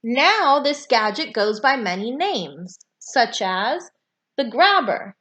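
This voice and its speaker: A woman reading a children's nonfiction book aloud with deliberate, emphatic phrasing for young listeners. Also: a little high, sing-song, a bit bright. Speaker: read-aloud storyteller.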